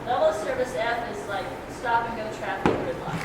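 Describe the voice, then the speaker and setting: A soft-voiced woman giving an informal explanatory talk at a public forum, speaking in a halting, hesitant way. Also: fairly high pitch. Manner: halting, hesitant, loses her thread. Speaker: ballot measure proponent, debate speaker. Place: community grange hall. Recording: echoey hall, distant noisy microphone.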